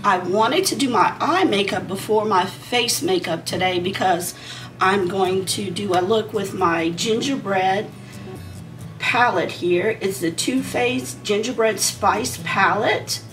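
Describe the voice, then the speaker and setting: A woman in her forties, a beauty vlogger, chatting casually and rambling while she does her makeup, her voice a bit hoarse from a sinus issue. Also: mid-range voice, takes it slowly, fairly bright tone, distant mic, soft background music. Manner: rambling and conversational, talking while applying makeup.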